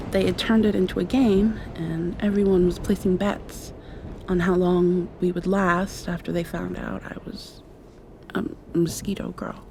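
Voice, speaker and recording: A woman playing a shy, tender young girlfriend in an intimate ASMR roleplay, speaking softly and haltingly and often slipping into a whisper. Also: lowish voice, little pitch movement, background noise. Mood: sad, reflective. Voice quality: soft, breathy, often dropping to a whisper. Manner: hesitant, halting, confiding. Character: shy, tender young mosquito girl confessing past heartbreak to girlfriend.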